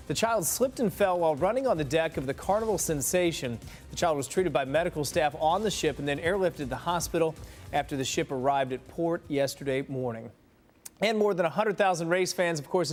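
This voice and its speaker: A man's voice: on the high side, a little hiss or hum. An American man reading the news as a morning television anchor.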